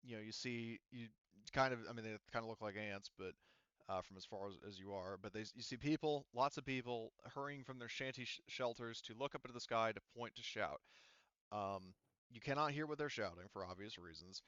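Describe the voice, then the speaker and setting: Low-voiced man running a tabletop game. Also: a little fast, a bit bright, clear, resonant tone, dry recording, highs cut off. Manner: rambling, many fillers and restarts. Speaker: game master.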